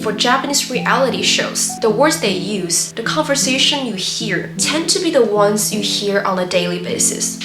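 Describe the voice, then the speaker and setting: Mandarin-native woman hosting a tips video, earnest and steady, roomy echo. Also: medium pitch. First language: Mandarin Chinese. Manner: earnest, steady advice-giving. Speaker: YouTube host. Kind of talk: scripted tutorial narration. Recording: roomy echo with loud background music.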